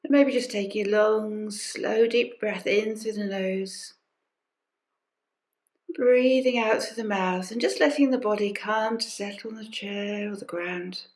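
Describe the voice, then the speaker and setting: A woman leading a guided meditation as a teacher, speaking gently and unhurriedly in short phrases with pauses and soft invitations. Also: mid-range voice, fairly even intonation. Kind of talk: guided body scan meditation. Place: recorded during an online session.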